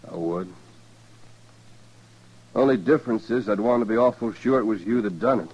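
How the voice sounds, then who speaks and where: An actor playing a resigned, stoic American cowboy condemned as a horse thief, speaking tersely and plainly in a muffled old radio western. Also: male voice, lowish voice, normal speed, a little mellow, slightly rough. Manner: terse, plain rural speech. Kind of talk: 1950s radio western acting.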